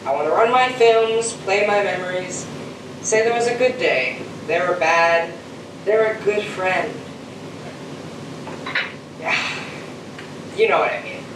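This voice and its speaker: A young male actor as an earnest, sentimental astronaut, speaking slowly and theatrically. The voice carries through a very echoey space with clear noise behind it. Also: high-pitched. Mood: earnest, wistful. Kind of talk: theatrical stage acting. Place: stage of a school theater. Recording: very echoey stage, distant mic, noisy.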